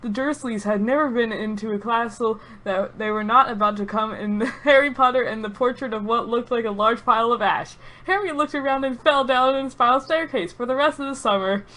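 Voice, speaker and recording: A woman's voice: a little high, brisk, hardly any pauses, fairly bright tone. A woman reading an absurd story aloud with mock-serious drama, often breaking into giggles.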